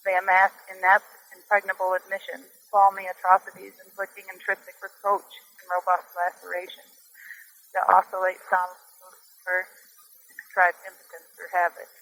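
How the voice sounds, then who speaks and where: A woman reading her own poem in a deliberate, declamatory manner. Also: lowish voice, monotone, fairly bright tone, poor audio. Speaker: poet reading own work. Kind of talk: poetry recitation.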